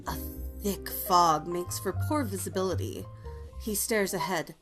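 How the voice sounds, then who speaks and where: A woman's voice: fairly low pitch, unhurried, fairly bright tone, soft background music. A woman narrating stage directions from a script at a table read.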